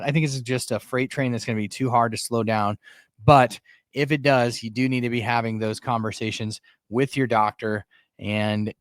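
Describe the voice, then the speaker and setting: A man's voice: mid-range voice, clean tone, no echo, clean studio sound. A man hosting an informal news show, commenting in a conversational, earnest way and repeating points for emphasis. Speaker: host of a medical and pharma news show.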